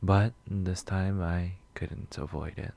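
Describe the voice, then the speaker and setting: A man playing a shy, sweet, nervous coffee shop regular in a soft-spoken ASMR roleplay, gentle, hesitant and rambling. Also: low voice, fairly even intonation, slow, gravelly, exaggerated voice. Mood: relaxed, chatty. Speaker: roleplay audio performer.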